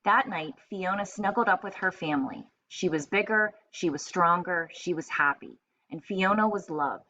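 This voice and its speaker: A woman reading aloud to children as a teacher, expressive and explanatory, in a slightly muffled recording with faint noise. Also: lowish voice, moderate pace.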